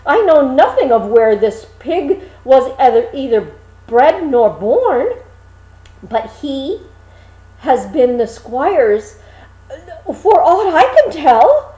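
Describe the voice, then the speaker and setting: A woman voicing a naive, excitable young man in a storybook, with exclamatory, old-fashioned speech. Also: high voice, slow, echoey room, heavily acted, rough recording. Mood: frightened, pleading. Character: naive, excitable young man Hans, easily pleased and alarmed.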